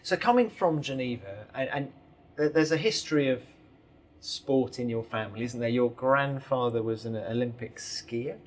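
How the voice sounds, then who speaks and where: A man's voice: medium pitch, normal speed. A man hosting a podcast interview, asking curious, prompting questions. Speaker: podcast host.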